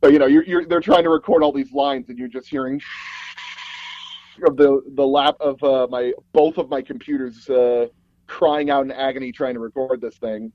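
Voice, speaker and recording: A man's voice: medium pitch, fairly even intonation, moderate pace, no echo, muffled recording. A man speaking as an interview guest, an audio drama producer telling casual stories and acting out the dialogue he quotes. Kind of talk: casual anecdotal interview storytelling.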